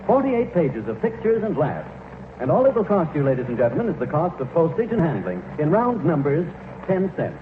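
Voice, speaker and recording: A man announces in scripted 1940s radio style. His pitch is high and very lively, and the broadcast sounds thin and noisy. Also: brisk, narrow, tinny audio.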